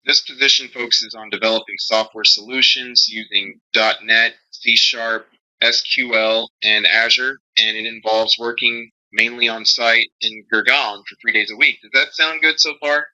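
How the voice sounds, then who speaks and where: A man's voice: medium pitch, steady tone, normal speed, pushed and bright, lo-fi sound. A man's voice as a recruiter, conducting a scripted screening call in a polite, formal manner over band-limited phone audio.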